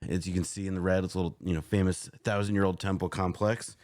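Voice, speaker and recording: A man's voice: sits low, level tone, quick pace, darkish tone, no echo. A man visiting as a guest in casual chat, with dry sarcastic humor. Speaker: podcast guest.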